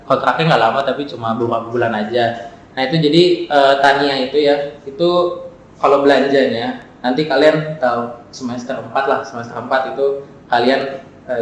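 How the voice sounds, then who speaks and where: A man in his twenties with a Jakarta accent, chatting as a podcast guest in a relaxed, halting way with frequent "eh" fillers and chuckles. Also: mid-range voice, very echoey, a little hiss or hum, rough recording. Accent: Jakarta Indonesian. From Jakarta, Indonesia. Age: 20s. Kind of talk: casual podcast chat.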